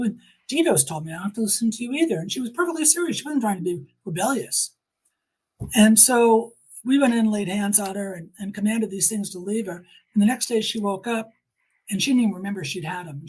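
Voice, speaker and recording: A woman's voice: medium pitch, a little mellow, slightly rough, poor audio. A middle-aged woman giving earnest, emphatic personal testimony in conversation, quoting people as she tells her story.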